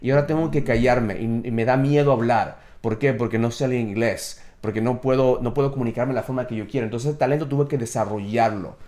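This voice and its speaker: A man's voice: mid-range voice, brisk, hardly any pauses, mellow. A man with an Ecuadorian accent speaking conversationally and motivationally, telling personal anecdotes and repeating phrases for emphasis.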